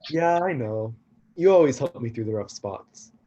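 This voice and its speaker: A man's voice: medium pitch, animated, normal speed, mellow, breathy edge, dull audio. A man playing a clumsy young god of destruction, earnest and frustrated, in a staged play reading. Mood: wistful.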